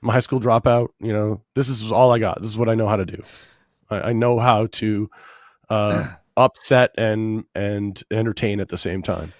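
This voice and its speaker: A man's voice: fairly low pitch, normal speed, dry recording. A man whose voice is going after bronchitis, joking drily as a guest in a casual interview. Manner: dry, deadpan joking. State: losing his voice after bronchitis. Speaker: comedian guest.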